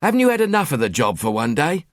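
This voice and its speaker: A man's voice: a little high, sing-song, quick pace, dry recording, studio quality, pitched above the performer's own voice. A man voicing a nagging middle-aged wife with an East London accent, sarcastic and scolding.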